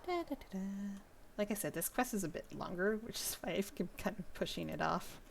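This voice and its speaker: A woman streaming gameplay with casual, chatty commentary. Her voice is lively in pitch with a strong creak, and her manner is playful, over faint background noise. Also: a little fast. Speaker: game streamer.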